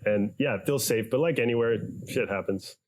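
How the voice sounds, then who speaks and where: A man from San Diego, a podcast guest talking in a relaxed, chatty way and laughing easily. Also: medium pitch, fairly quick, low-quality recording. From United States, San Diego. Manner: laid-back, chatty, laughs often. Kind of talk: casual podcast chat.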